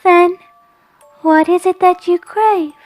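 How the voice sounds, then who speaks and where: Woman voicing a high wispy childlike phantom. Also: fairly even intonation, soft background music. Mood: sweet, coaxing. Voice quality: wispy, soft, quivering, high. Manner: slow, dreamy, sweetly coaxing. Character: eerie childlike phantom voice, dreamy, sweet, wispy, unsettling. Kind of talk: audio storytelling character voice.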